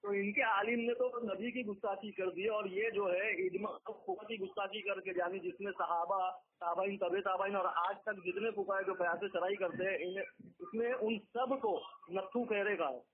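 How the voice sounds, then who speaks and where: Male debater with a high voice, argumentative and accusing, on a thin phone connection. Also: steady tone, normal speed. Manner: accusatory, argumentative, emphatic repetition. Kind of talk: heated religious debate on a call. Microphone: phone call. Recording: tinny phone conference line.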